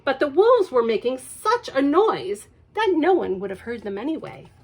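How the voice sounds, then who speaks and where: Expressive woman reading a picture book aloud. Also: high voice, normal speed, breathy edge. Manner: expressive, with chatty asides to children. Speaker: children's librarian. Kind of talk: storytime read-aloud.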